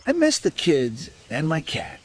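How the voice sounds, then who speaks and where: A man's voice: fairly high pitch, huge pitch swings, measured pace, husky, full character voice. Confused, wistful old man. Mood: amiable, wistful. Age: older. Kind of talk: radio play acting.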